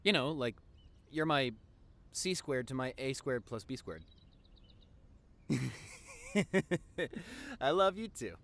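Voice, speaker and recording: Casting: male actor as devoted nerdy young boyfriend for audio roleplay; mid-range voice, lively intonation, earnest and teasing. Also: mid-range voice, slow, creaky, long pauses, full character voice. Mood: playful, upbeat.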